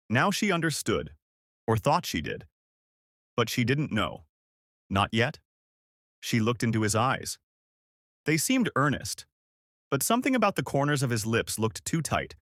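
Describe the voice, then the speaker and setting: Male story narrator, medium pitch, very lively intonation, dramatic and suspenseful, emphatic phrasing. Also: no echo, clean studio sound.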